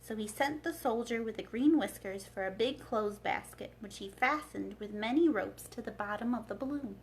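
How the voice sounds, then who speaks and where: A woman reading a children's storybook aloud as a librarian, in an expressive narrating style. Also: fairly high pitch, normal speed, slight background noise, rough recording.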